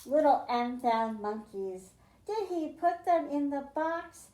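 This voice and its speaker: A woman's voice: sits high, animated, takes it slowly, warm tone, echoey room, rough recording. A woman librarian reading a picture book aloud to children, stressing the m sounds in a sing-song storytime way, then explaining a science activity. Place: library room.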